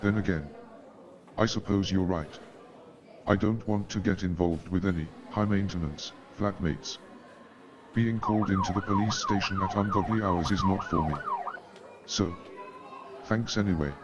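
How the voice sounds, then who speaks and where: A man's voice: low voice, huge pitch swings, normal speed, long pauses, highs cut off, a crowd in the background. A man playing an eager, lonely former army doctor in a comic radio play, with theatrical, old-fashioned phrasing. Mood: earnest, chatty. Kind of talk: comic stage-style radio play acting.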